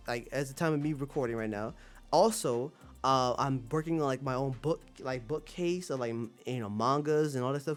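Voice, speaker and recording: A man's voice: mid-range voice, a little mellow. A man talking casually to his viewers as a streamer, rambling in an emphatic, slangy way and repeating phrases for emphasis. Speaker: streamer and manga commentator.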